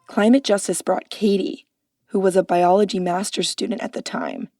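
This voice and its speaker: A woman's voice: medium pitch, sing-song, normal speed, gravelly, warm tone, dry recording, clean studio sound, soft background music. A woman narrating a documentary podcast from a script in a conversational, punchy way.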